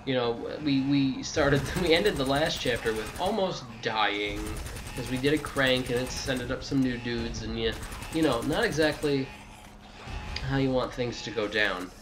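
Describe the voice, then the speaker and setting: A man's voice: mid-range voice, unhurried, background noise. A man giving a casual video game walkthrough, rambling and correcting himself, with jokey asides to the game. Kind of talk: casual gameplay walkthrough commentary.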